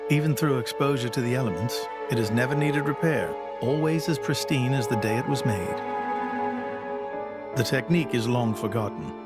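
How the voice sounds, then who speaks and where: A man acts a long-lived religious leader in a game cutscene. He is solemn and courteous, choosing formal words with grave, measured calm. Music plays clearly behind his voice. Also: medium pitch, close to an everyday voice. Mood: calm, reflective. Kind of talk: video game cutscene acting.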